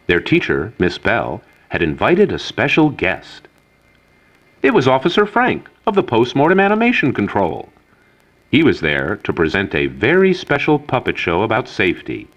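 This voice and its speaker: Animated deep-voiced male storybook narrator. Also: moderate pace, muffled recording. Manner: theatrical, mock-earnest storyteller. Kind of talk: scripted narration in the manner of an old children's educational safety film.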